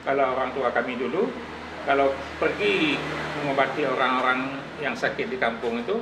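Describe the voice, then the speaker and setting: A man's voice: mid-range voice, moderate pace. A man, rambling through memories and searching for names and words. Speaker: interviewee, relative. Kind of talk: casual reminiscence. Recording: distant, echoey, very noisy.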